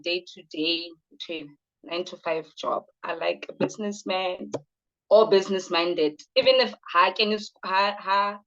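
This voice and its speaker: A woman's voice: medium pitch, fairly bright tone. A woman in her late thirties with a South African accent, answering interview questions directly and mixing in Sesotho phrases. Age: late 30s. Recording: slightly dull sound.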